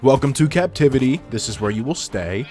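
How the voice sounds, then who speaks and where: A man's voice: medium pitch, lively intonation, a little fast, a little mellow. A man narrating gameplay in a casual, informal way with wry asides, his voice run through a voice filter. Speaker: gaming video host. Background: background music.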